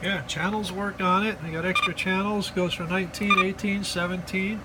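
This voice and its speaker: High-pitched folksy man over clear background noise. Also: moderate pace. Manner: mock-earnest, repetitive, folksy slang. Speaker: hobbyist video presenter. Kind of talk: casual joking product demonstration. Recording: noisy recording with steady background hum.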